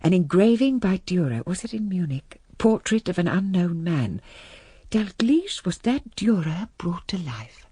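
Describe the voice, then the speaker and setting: An actress playing an older, composed English mother of a country-house family in a radio drama, measured and reflective, speaking intimately. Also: on the low side, big pitch swings, mellow, slightly rough, dry recording, full character voice. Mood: reflective, troubled. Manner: measured, reflective, well-mannered. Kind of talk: radio drama acting, intimate first-person narration.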